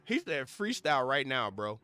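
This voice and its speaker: A young man streaming a game, rambling and swearing as he tells stories in casual chat, his voice a little hoarse from losing it. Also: medium pitch, normal speed, soft background music. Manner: rambling, profane, animated storytelling. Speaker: gaming streamer. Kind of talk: casual livestream chat while gaming.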